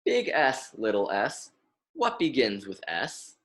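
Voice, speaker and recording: A man's voice: medium pitch, wildly swooping pitch, slow, a bit bright. A young American man reading a picture book aloud as a lesson for children, repeating and emphasising words in an instructive way. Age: young adult.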